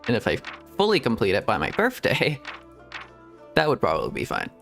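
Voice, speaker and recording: A man's voice: mid-range voice, wildly swooping pitch, fairly quick, creaky, fairly bright tone. A man streaming a video game, chatting and rambling casually and laughing often. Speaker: VTuber streamer. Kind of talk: casual gameplay stream commentary. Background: faint game music.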